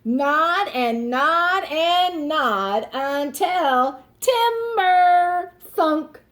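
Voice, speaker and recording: Animated, high-voiced woman reading to children. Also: drawn-out words. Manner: explains words simply for children. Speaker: teacher reading a picture book aloud. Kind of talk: expressive read-aloud for young children.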